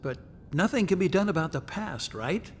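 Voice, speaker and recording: An actor playing the gentle, teasing ghost of a dead husband in a radio drama. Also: male voice, expressive, normal speed. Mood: tender. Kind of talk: contemporary radio drama acting. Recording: long reverb, rumbly background noise.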